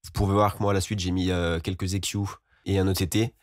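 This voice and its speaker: A man giving an informal, explanatory software tutorial as a voice-over. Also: low-pitched, flat delivery, quick pace, no room sound, clean studio sound. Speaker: music production tutorial host.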